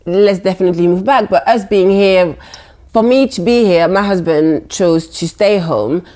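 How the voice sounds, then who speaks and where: A young woman speaks English with a French accent in a relaxed interview. She tells her stories with animation, slipping into mock voices for the people in them. Her voice sits in a middle pitch. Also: normal speed. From France. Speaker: guest, blogger. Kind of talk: casual interview chat.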